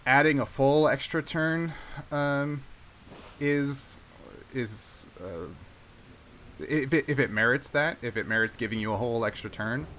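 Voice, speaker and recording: A man acting as game master, narrating a tabletop game and talking through rules casually, pausing as he works out rulings. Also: medium pitch, fairly bright tone. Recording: clear background noise.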